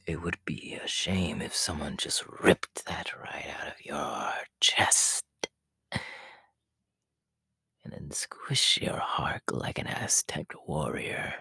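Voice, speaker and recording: Sinister, possessive male snake villain for ASMR roleplay: extremely deep, very slow, raspy and menacing. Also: sharp tone, no echo, full character voice. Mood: creepy taunting. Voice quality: breathy, raspy whisper. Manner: slow, drawn-out, gloating.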